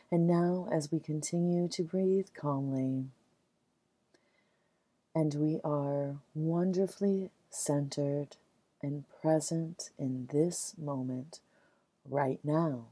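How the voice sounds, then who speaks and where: A low-voiced woman leads a guided meditation very slowly, with soothing, gentle phrasing. She leaves long, measured pauses between short phrases. The recording is close and dry. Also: slightly rough, dry recording.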